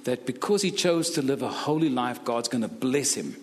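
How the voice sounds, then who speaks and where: A man preaches in short, measured phrases, leaving room for an interpreter. His pitch moves a lot, and the church hall around him gives a long echo. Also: lively intonation. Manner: speaks in short phrases, broken German asides. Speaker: guest preacher.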